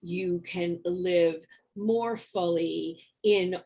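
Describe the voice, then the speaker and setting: A woman's voice: fairly low pitch, extremely slow, dark tone. A woman moderating an interview, affirming her guest and leading into questions over a video call. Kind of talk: interview conversation.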